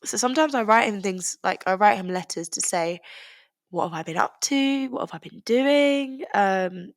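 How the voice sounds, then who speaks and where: A woman's voice: medium pitch, expressive, moderate pace, no echo, studio quality. A young woman, a bit congested and bunged up, talking candidly and rambling on her own podcast. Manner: candid, rambling, with many likes and restarts. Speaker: podcast host. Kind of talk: personal podcast monologue.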